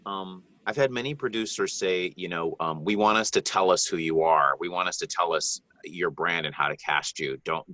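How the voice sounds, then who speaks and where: A deep-voiced man from the Bahamas answers questions as a guest narrator in a live online Q&A. His tone is bright and forceful, and he talks in an earnest, rambling way full of ums and restarts. The sound is dry and close. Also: no echo. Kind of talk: live video Q&A advice.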